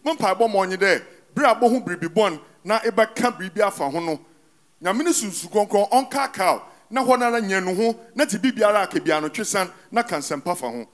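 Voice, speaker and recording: A male interpreter with a high-pitched voice repeats the preacher's sermon line by line. He speaks right after each phrase. The sound comes from a church service. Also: lively intonation, fairly quick.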